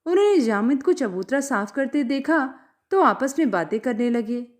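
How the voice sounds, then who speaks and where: High-pitched, animated woman storyteller. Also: moderate pace. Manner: expressive, acts out dialogue. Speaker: storyteller reading short fiction aloud. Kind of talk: scripted story narration, audiobook-like.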